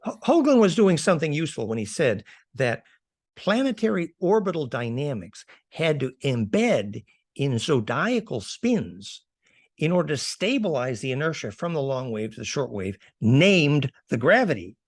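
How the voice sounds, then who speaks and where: Gravelly man lecturing in dense technical jargon. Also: fairly high pitch, big pitch swings, moderate pace, no echo, clean studio sound. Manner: didactic, dense jargon, repeats words for emphasis. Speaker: guest lecturer on fringe physics. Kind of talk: technical explanation in a podcast interview.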